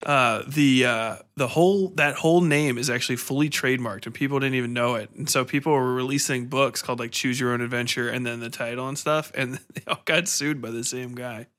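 A man in his thirties with a bright, forward voice hosts a casual interview. He talks informally and wanders into anecdotes as he goes, at a middling pitch. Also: steady tone, brisk, hardly any pauses. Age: 30s. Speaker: podcast host and interviewer.

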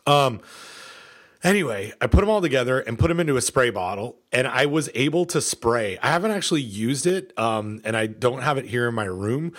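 Rambling, halting man on a podcast. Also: mid-range voice, lively intonation, a bit bright. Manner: halting, many fillers and restarts. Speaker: podcast co-host. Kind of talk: casual podcast chat.

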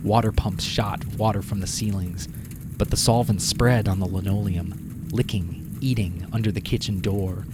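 A man narrating a scripted short story as audio drama, with deliberate, dramatic phrasing. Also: on the low side, fairly even intonation, heavy background noise. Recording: prominent music behind the narration.

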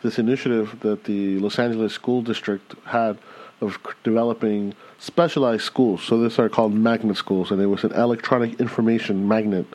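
A low-voiced American man in his thirties chats casually as a podcast co-host. He sounds relaxed and fills his sentences with "uh" and "you know" as he tells his story. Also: fairly quick, low-quality recording. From United States.

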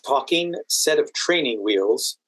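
A man's voice: mid-range voice, lively intonation, slow, a bit bright, dry recording, low-quality recording. A man from Washington, D.C., explaining his work as an interview guest in an articulate, methodical, conversational way. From Washington, D.C., United States. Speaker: podcast guest, exam event manager and educator.

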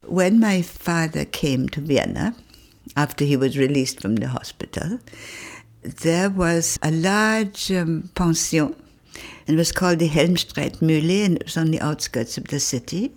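An older woman, a retired professor, recalling her family in an interview, thoughtful and hesitant with pauses and fillers. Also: sits low, lively intonation, a little mellow. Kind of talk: reflective interview recollection.

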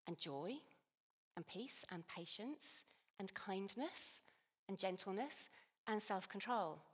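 Hoarse woman preaching in a church. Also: mid-range voice. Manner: chatty, self-deprecating, animated. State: losing her voice a little. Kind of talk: sermon. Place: church hall. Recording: long church reverb.

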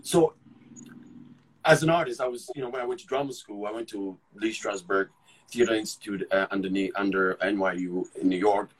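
Husky middle-aged guy from ghana, actor chatting in an interview, keeps stumbling and restarting mid-thought. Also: lowish voice, low-quality recording. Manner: halting, restarts and repeats words while thinking aloud. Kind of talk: casual conversational storytelling.

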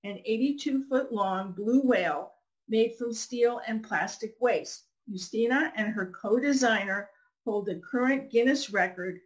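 A woman's voice: on the high side, unhurried, poor audio. A woman podcast host reading scripted introductions and putting questions to a guest.

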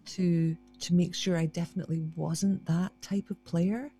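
Chatty low-voiced woman musician. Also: fairly even intonation, moderate pace, warm tone, faint music behind. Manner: chatty, self-deprecating, restarts often. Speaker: guest musician, harpist. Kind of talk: casual conversational interview.

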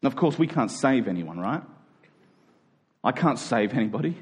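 A man's voice: medium pitch, sing-song, moderate pace, a little mellow. A man preaching a sermon to a congregation in an emphatic style, using rhetorical questions and repetition.

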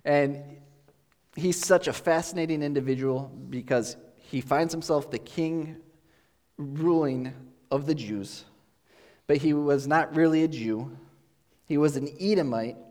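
A pastor giving a relaxed, conversational sermon, explaining as he goes with thinking-aloud pauses, recorded in a church sanctuary. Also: on the high side. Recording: long hall reverb.

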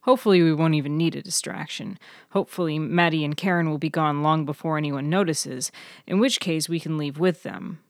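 A woman voicing an awkward, dryly witty male friend in an audio story, measured and a little wordy. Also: low voice, no echo, clean studio sound. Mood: brisk.

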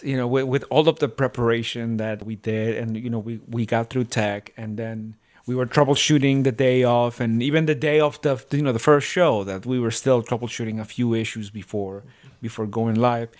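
A man's voice: medium pitch, fairly even intonation, moderate pace, darkish tone. A man co-hosting a podcast as a theater director, talking reflectively and earnestly in a casual, rambling way. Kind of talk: casual reflective panel chat.

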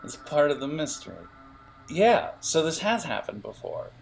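A man narrating an improvised tabletop game as game master, in a halting, explanatory way with hesitations. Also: fairly high pitch, very animated, vocal fry, soft background music, low-quality recording.

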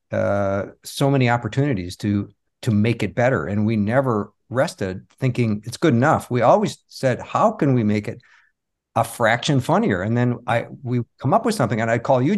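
A man's voice: medium pitch, lively intonation, normal speed. A man telling anecdotes casually as an interview guest. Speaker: interview guest, magician and comedy performer.

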